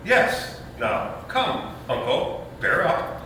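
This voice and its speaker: A man's voice: fairly high pitch, very animated, very slow, bright, forceful tone, full character voice. An actor playing a brash, scheming young father in a readers theater comedy, glib and emphatic. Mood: jaunty. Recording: echoey hall, distant mic, faint noise.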